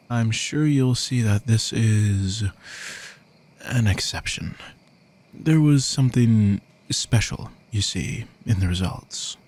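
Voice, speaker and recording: A man voicing a courteous, predatory male vampire who poses as a lab technician, speaking softly and teasingly and sometimes dropping to a whisper. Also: lowish voice, slightly rough, exaggerated voice. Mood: reassuring. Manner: slow, soft-spoken, teasing, drops to whispers. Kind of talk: audio roleplay to the listener.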